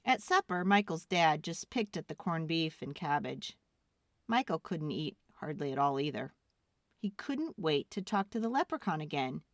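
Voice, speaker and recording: Very animated woman storyteller. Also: deep voice, no room sound, clean studio sound. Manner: expressive, deliberate storytelling. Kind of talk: dramatic children's read-aloud narration.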